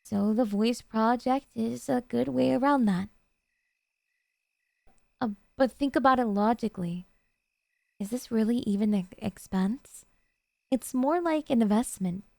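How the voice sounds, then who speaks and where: A woman playing a doting, jealous yandere girlfriend in an ASMR roleplay, coaxing and sweetly earnest with hesitant pauses. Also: fairly high pitch, vocal fry, warm tone, no echo.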